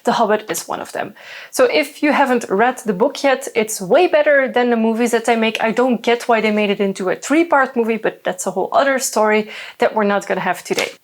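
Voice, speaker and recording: Young woman, native Dutch speaker, for a casual book chat full of asides, slightly higher voice. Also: a little fast. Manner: chatty, many fillers and asides. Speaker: book reviewer. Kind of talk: casual book review talk.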